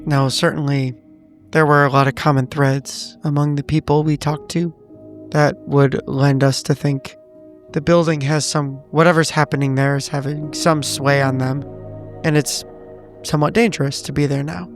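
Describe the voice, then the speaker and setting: A man playing a tabletop roleplaying game, improvising in-character talk with careful, measured wording. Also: on the high side, level tone, soft background music.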